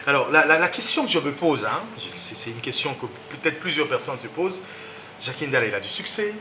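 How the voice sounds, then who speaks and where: A man's voice: medium pitch, animated, brisk, background noise. A man hosting a TV talk show, speaking emphatically and pushing up on key words, with hesitant fillers. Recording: roomy studio echo.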